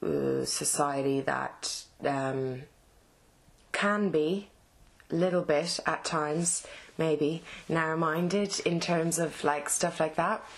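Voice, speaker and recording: A woman from Ireland with a Dublin Irish accent, an actress answering interview questions in a halting, casual way with many fillers and restarts. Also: deep voice, creaky.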